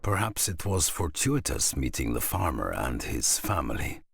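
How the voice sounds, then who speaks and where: A man performing a grave Viking-age storyteller in a video game, narrating solemnly in a gravelly, husky voice. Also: a bass voice, sing-song, moderate pace, a bit bright, dry recording, clean studio sound, exaggerated voice. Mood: solemn, portentous. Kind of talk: dramatic scripted saga narration.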